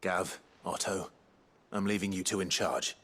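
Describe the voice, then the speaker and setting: An actor playing a brooding, resolute warrior leader in a fantasy game, husky, terse and earnest. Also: male voice, steady tone, unhurried, a bit bright. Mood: reassuring, calm. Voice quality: husky, gravelly rasp. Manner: low-key, terse, earnest. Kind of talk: scripted fantasy game dialogue.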